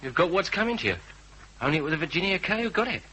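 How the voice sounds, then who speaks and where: A man's voice: high-pitched, big pitch swings, racing, sharp tone, husky, full character voice. An actor playing an eager young male detective's assistant in a radio drama, chirpy, colloquial and inquisitive. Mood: curious. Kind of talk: classic radio drama acting. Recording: dull, noisy old radio recording.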